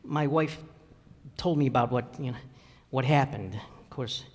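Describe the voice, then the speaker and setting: A man's voice: medium pitch, close to an everyday voice. Man playing folksy family man, echoing hall. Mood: earnest, gentle. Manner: halting, folksy, ungrammatical speech. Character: plain-spoken, good-hearted middle-aged family man, a little timid. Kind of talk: old-time radio comedy-drama acting. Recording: reverberant hall stage recording.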